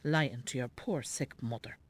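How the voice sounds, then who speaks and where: A woman playing an elderly, nagging, devout Irish mother in a radio drama, sharp-tongued and scolding. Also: very deep voice, moderate pace, slightly rough, no room sound. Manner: scolding, bossy. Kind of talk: naturalistic radio drama acting.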